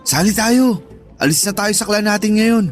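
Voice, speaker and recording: Male actor as Filipino young man, early twenties, cheeky and eager, fairly high pitch, playful radio drama talk, faint music underneath. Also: normal speed, natural voice. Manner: playful, colloquial, teasing. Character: cheeky lovelorn 22-year-old guy, eager younger friend. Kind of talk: Tagalog radio drama dialogue.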